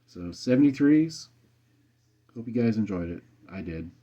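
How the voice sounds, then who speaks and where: American male radio hobbyist, relaxed and colloquial, lowish mellow voice, slightly dull desk mic. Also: unhurried. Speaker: amateur radio operator and video host. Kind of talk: casual radio chat. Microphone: USB desk condenser microphone.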